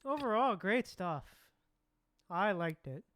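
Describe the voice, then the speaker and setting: A woman's voice: mid-range voice, animated, slow, a bit bright, slight background noise. A woman streamer reacting casually to a game, laid-back and often repeating her phrases.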